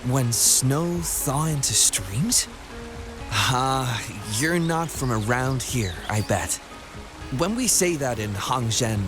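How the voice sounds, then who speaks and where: A friendly local game townsman, explains customs and legends in a patient way. His voice sits at a plain, mid-range pitch. He sounds easy and helpful. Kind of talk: game voice acting.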